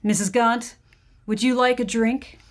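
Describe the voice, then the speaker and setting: An actress playing a gracious, solemn seance medium in an old-time radio drama, speaking formally and deliberately. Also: female voice, fairly high pitch, steady tone, natural voice. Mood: solemn, instructing.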